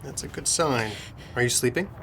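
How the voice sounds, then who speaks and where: An actor playing a grave, courteous physician to a noble family in a period audio drama, clinical, polite and firm. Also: male voice, mid-range voice, normal speed, vocal fry, noisy background, close to an everyday voice. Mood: professional, reassuring.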